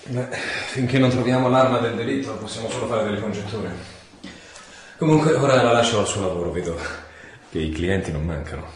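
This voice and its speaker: Cynical wartime police commissioner man acting, lowish voice, brisk and sardonic, radio drama with clear background noise. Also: natural voice. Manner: brisk, sardonic, thinking aloud.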